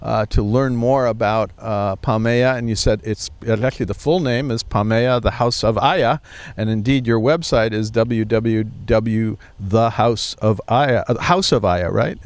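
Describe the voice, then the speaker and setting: A man's voice: lowish voice, a little slow, clean tone, dry recording. A man conducting a casual interview in a chatty, rambling way, often searching for words. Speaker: travel trade interviewer.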